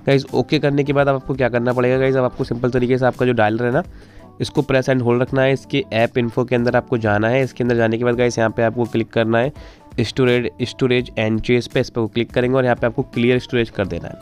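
A man's voice: medium pitch, little pitch movement, brisk, a little mellow. A man presenting a casual tech tutorial, informally addressing viewers in a repetitive, pleading way. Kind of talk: casual screen-recorded phone tutorial. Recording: music playing behind the voice. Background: instrumental background music.

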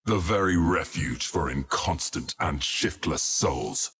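An actor playing an imperious, ruthless warlord in a game cutscene, with haughty, weighty, theatrical delivery. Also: male voice, extremely low pitch, expressive, slow, slightly rough, full character voice. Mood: cold, curt. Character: imperious, ruthless male Chaos warlord commanding a war fleet. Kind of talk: dramatic game cutscene acting. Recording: voice under very loud music. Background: loud music.

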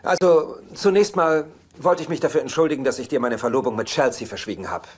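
A man's voice: mid-range voice, fast talker, breathy edge, close to an everyday voice. Man acting a sardonic bachelor in his forties. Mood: sarcastic, guarded. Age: 40s. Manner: dry, sardonic. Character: cynical, sarcastic bachelor son in his forties. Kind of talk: German-dubbed sitcom dialogue. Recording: TV soundtrack with faint noise.

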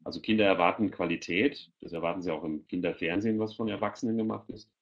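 A man's voice: low-pitched, fairly even intonation, quick pace. A middle-aged man giving explanatory answers as a panelist in an online Q&A, speaking through a headset. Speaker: audio producer for children, panelist. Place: indoors at home, video call. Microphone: headset on a video call. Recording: dull, lo-fi video call audio.

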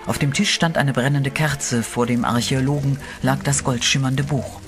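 A male narrator for a horror radio play who tells the story in a steady, dramatic way. His voice sits at a middle pitch for a man, and eerie music plays clearly underneath. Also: normal speed.

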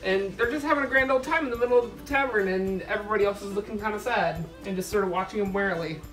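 A woman's voice: medium pitch, quick pace. A young adult woman casually improvising narration as the game master of a tabletop game. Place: living room around a table. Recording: roomy, far mic, music behind.

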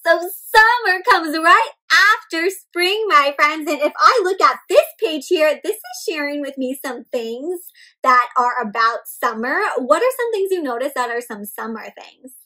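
A young woman hosting an interactive picture-book read-aloud for small children, expressive and animated, asking them questions as she goes. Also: high voice, measured pace. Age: young adult. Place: indoor room at home with plants and bookshelf.

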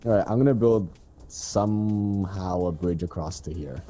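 A man's voice: deep voice, normal speed, dark tone, soft background music. A young man hosting a co-op gameplay video, chatting playfully and giggling with his friend. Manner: playful, giggly, squeals for fun. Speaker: gaming channel host. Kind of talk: casual co-op gameplay commentary.

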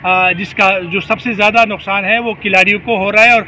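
A man in his forties giving an on-location news report at an outdoor stadium, pausing often with fillers as he talks. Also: sits high, fairly even intonation, pushed and bright, slight background noise. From Pakistan. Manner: hesitant, with many filler pauses. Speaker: field reporter. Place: outdoor hockey stadium, daytime.

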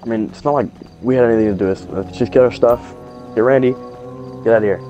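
A man's voice: mid-range voice, fast talker, highs cut off, music in the background, natural voice. A man playing a jittery, impatient survivor who talks in casual, sweary slang in an improvised video game roleplay. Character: jittery, impatient male survivor eager to leave.

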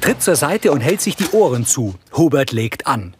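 A man's voice: mid-range voice, big pitch swings, moderate pace. A man reading scripted scene descriptions as the narrator of a crime series. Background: music.

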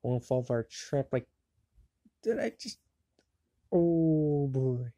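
A woman's voice: a bass voice, expressive, slow pace, warm tone, dry recording, studio quality, exaggerated voice. A woman voicing a bratty, hot-tempered young boy wizard in an amateur cartoon fandub, sneering and exasperated. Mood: dismissive, uneasy.

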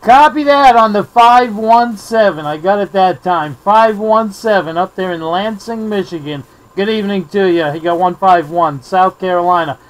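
A man's voice: high voice, normal speed, hardly any pauses, poor audio. An American man working as a CB radio operator, trading call signs and friendly, courteous sign-offs. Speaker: CB radio operator, video maker. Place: indoor radio desk.

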